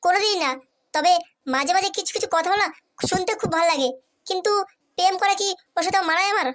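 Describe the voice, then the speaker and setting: Squeaky, fast cartoon younger sister, a woman acting. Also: fairly bright tone. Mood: coy, hesitant. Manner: hesitant, coy. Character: coy, unsure lovestruck younger sister.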